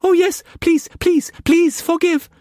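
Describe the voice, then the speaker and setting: High, pleading young woman in broken pidgin. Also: steady tone, slow pace, dark tone, no echo, studio quality. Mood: pleading, tearful. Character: frightened pregnant young woman, pleading and submissive.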